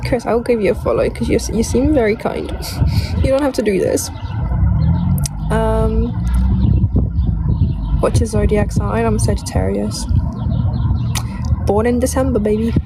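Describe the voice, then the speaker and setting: A woman's voice: fairly high pitch, fairly even intonation, unhurried, creaky, pauses often. A young woman from Poland, a native Polish speaker, chatting casually and informally with viewers as she livestreams outdoors. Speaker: live streamer. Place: outdoors by a lake near a road. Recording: loud outdoor ambient traffic noise. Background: loud ambience, passing vehicles.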